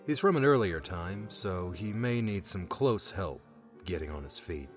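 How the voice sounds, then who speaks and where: Guardian, male reader as dry, weary guide; deep register but varied intonation, audiobook dialogue. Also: expressive, a little slow, faint music behind. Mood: dry, businesslike. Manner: dry, matter-of-fact.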